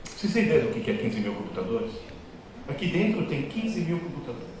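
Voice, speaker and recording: A man gives a joking, teasing lecture to an audience of students and parents. His fairly high voice swings widely in pitch as he tells his stories. The sound is very echoey and distant, as if caught from far back in a large hall. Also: fairly quick, a little hiss or hum. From Italy. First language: Italian. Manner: joking, teasing, lively storytelling.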